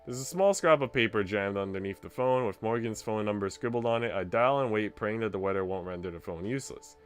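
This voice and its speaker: A man's voice: lowish voice, a little fast, faint music behind. A man streaming a visual novel, reading its narration aloud and chatting with viewers.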